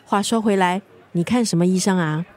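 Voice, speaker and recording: An actress with a Singaporean accent playing a nosy, bossy best friend in a naturalistic radio drama, speaking in a playful, probing, wry way. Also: female voice, lowish voice, fairly quick, a little mellow, close to an everyday voice. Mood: bossy, caring.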